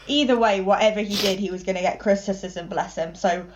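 A woman's voice: medium pitch, fairly quick, noisy background. A woman co-hosting a casual podcast, outspoken and opinionated, swearing freely. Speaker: podcast co-host. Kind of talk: casual chat. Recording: dull lo-fi podcast audio.

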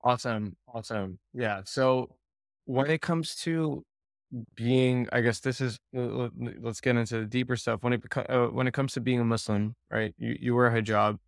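A man hosts an interview, asking long questions with many restarts and fillers. His voice has a lot of gravelly fry at a middle pitch. The sound is dry and close. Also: fairly even intonation, moderate pace, no echo. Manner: hesitant, rambling questions. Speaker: podcast host. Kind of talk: casual interview talk.

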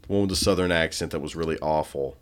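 A man around thirty co-hosting a podcast, teasing and expressive as he chats casually with a friend. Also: very deep voice, fast. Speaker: podcast co-host. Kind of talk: casual chat between friends.

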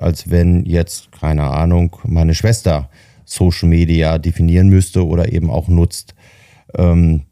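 A man in his fifties, a marketing head guesting on a podcast, speaking in a thoughtful, halting way with many fillers. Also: sits low, mellow, dry recording, studio quality. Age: 50s. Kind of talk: casual podcast talk.

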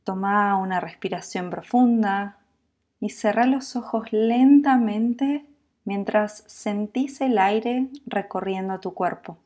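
A woman with a Latin American Spanish accent guiding a sleep meditation in a calm, soothing, unhurried manner, leaving long pauses. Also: medium pitch. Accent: Latin American Spanish with voseo. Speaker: yoga nidra meditation guide.

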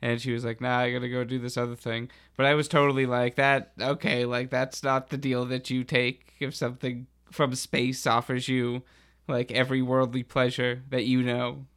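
A man chatting casually as a podcast host, with a halting, slangy delivery. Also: medium pitch, little pitch movement, fairly bright tone, clean tone. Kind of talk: casual chat about a TV show.

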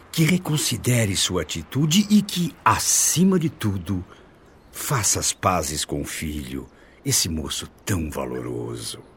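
Deep, expressive, reassuring Brazilian father. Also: a little hiss or hum, exaggerated voice. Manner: measured, earnest, soothing. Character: caring, reassuring father, devout expectant grandfather. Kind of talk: radio soap acting.

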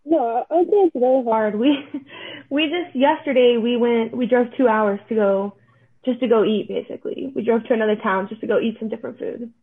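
A young woman from Florida answers podcast questions in a halting, self-deprecating way. Her voice sits a little high and comes through thin and tinny, as if over a phone line. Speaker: podcast guest. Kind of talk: casual chat.

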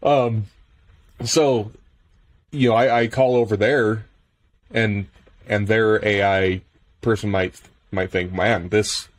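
A man's voice: on the low side, steady tone, takes it slowly, pauses often. A man with an American Midwestern accent hosting a podcast interview, asking questions hesitantly and repeating words. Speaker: podcast host.

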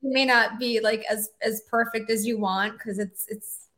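A woman in her forties who is a guest attorney on a podcast, chatting in a relaxed way and often trailing off with fillers. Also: on the high side, moderate pace. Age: 40s. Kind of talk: casual interview chat.

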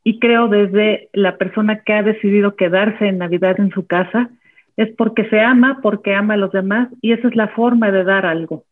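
A woman speaking in an earnest, calm, reflective way as a guest with a therapy background. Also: mid-range voice, moderate pace. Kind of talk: reflective talk. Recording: tinny phone line.

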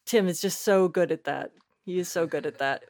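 A woman guest chatting casually in an interview, effusive and halting, with a giggly manner. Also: fairly low pitch, darkish tone, slight background noise. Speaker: podcast guest, audio fiction writer.

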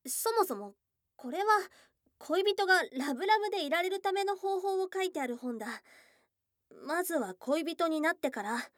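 A woman voicing a shy young goblin girlfriend in an intimate ASMR voice drama, blunt and tomboyish in wording but flustered and tender, with breathy murmurs close to the mic. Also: extremely high pitch, animated, normal speed, no room sound. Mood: reading, bashful. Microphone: close ASMR microphone.

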